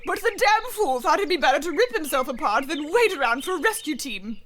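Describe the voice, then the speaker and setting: A woman's voice: high-pitched, very animated, brisk, a bit bright. An actress playing a brash, imperious huntress who barks orders at her assistant in a comedic radio play. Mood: bossy. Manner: imperious, impatient, barking orders. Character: brash bossy woman big-game huntress.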